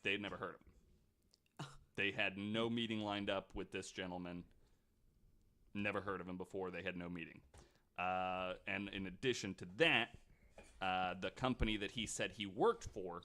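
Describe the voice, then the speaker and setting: A man's voice: low-pitched, steady tone, normal speed, pauses often, pushed and bright. A man hosting a podcast, telling a story in a loose, chatty way with plenty of likes and the odd mild swear. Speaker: podcast host. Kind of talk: casual storytelling chat.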